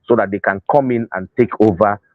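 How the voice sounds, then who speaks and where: Male interview guest, Nigerian accent, slightly low pitch, dry recording, explanatory delivery with rephrasing. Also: fairly even intonation, brisk, no room sound, phone-quality sound. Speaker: interview guest, festival organiser. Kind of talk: unscripted interview talk.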